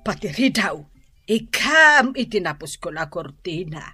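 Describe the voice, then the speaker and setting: A woman's voice: medium pitch, very animated, unhurried, sharp tone, breathy edge, no room sound, clean studio sound, faint music behind, full character voice. A woman playing a doting, fussy grandmother in Ilocano radio drama, exclamatory and melodramatic, with an Ilocano accent. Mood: bossy, fussy. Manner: gushing, exclamatory, sing-song wailing.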